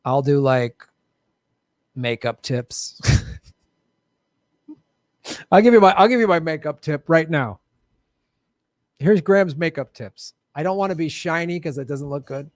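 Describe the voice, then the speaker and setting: A man hosting a live political show from a studio with bright lights, chatting casually and joking in a playful, self-mocking way. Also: a little high, expressive, unhurried, pauses often, dry recording. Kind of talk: casual livestream chat.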